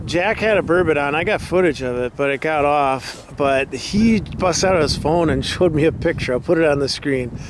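A man chatting casually and excitedly as he films an outdoor fishing vlog on a camera microphone. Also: fairly high pitch, moderate pace, hardly any pauses. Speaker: fishing vlogger behind the camera. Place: outdoors on a snowy waterfront pier. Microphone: handheld camera microphone. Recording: noisy outdoor camera audio.

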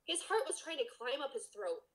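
Lively, higher-pitched woman reading aloud. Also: fairly quick. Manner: playful, expressive. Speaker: podcast co-host reading a story aloud. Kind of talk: casual read-aloud with banter.

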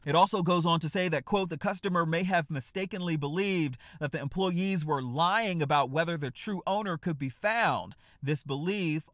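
A man in his thirties presenting a scripted news report from a home office, with a brisk, emphatic delivery. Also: fairly high pitch, a bit bright, dry recording, clean studio sound. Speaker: news host.

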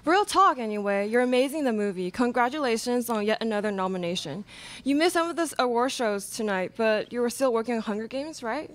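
A woman's voice: fairly high pitch, lively intonation, a little fast, close to an everyday voice. A young woman playing an upbeat red carpet celebrity interviewer in a live radio theatre show. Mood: flattering, curious. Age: young adult. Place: college classroom stage, indoors. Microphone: stand microphone.

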